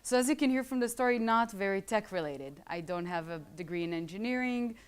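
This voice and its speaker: A woman's voice: medium pitch, expressive, fairly quick, clean tone. A woman in her thirties with an Israeli accent, a startup founder giving a casual, joking talk to a live audience of students. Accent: Israeli, with some English schooling. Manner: chatty, joking asides to the audience.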